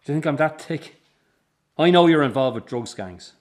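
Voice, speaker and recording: An actor playing a middle-aged circus boss in a radio drama, defensive, bitter and short-tempered. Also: male voice, a little high, animated, normal speed, natural voice. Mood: angry, accusing. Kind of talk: naturalistic radio drama acting.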